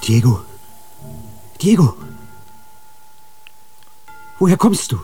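An urgent, fearful monk. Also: male voice, on the high side, big pitch swings, drawn-out words, warm tone, faint music behind, heavily acted. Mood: alarmed. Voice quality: urgent, pleading. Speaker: voice actor. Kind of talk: radio drama acting.

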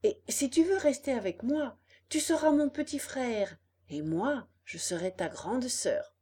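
A woman voicing a kind young fairy in a storybook, patient and tender as she gently chides. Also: on the high side, lively intonation, measured pace, husky, pitched above the performer's own voice.

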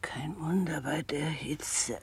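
A performer playing a matter-of-fact prisoner in a radio drama, speaking in a hushed, creaky voice. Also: medium pitch, slow pace. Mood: grim, hushed. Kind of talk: German radio play acting. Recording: distant from mic, faint noisy ambience.

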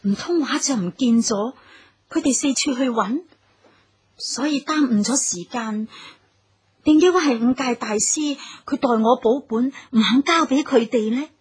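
High-voiced, timid young wife. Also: female voice, a little slow, husky. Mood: worried. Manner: plaintive, hesitant, thinking aloud. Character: timid, anxious young wife of twenty, naive traveller. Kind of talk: Cantonese radio drama acting.

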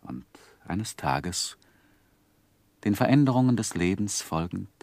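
An actor playing a reflective, grieving gentleman who narrates his life story in a measured, literary radio play style. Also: sits low, expressive, creaky, dry recording, exaggerated voice. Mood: subdued, reflective. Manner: measured, solemn, sorrowful.

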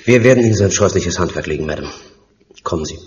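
An actor playing a determined, terse top agent in a German audio drama. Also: male voice, low-pitched, expressive, fast talker. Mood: resolute, consoling. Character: resolute top secret agent, grim and sympathetic. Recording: dull sound with clear background noise.

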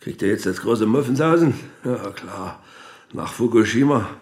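An actor playing a bitter, ailing suspect in a radio drama, aggrieved and evasive under questioning. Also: male voice, medium pitch, lively intonation, normal speed, a little mellow, lightly acted. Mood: scornful.

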